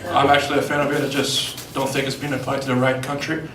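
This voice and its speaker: A young man from Brazil with a Brazilian Portuguese accent, telling deadpan one-liners in a stand-up set at a comedy club. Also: mid-range voice, fast talker, noisy background. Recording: roomy club, far mic, loud crowd.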